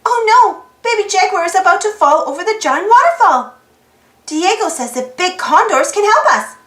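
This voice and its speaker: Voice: extremely high pitch, big pitch swings, moderate pace, sharp tone, echoey room. A storybook reader with an exclaiming manner, reading aloud for children and prompting young listeners with questions. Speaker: storybook reader and channel host.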